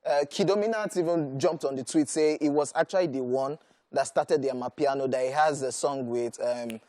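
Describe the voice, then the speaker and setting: A man's voice: mid-range voice, moderate pace, dark tone, dry recording. Stammering Nigerian man co-hosting. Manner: stammering, repeats words with fillers in chat. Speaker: entertainment show co-host. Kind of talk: casual chat, then reading news.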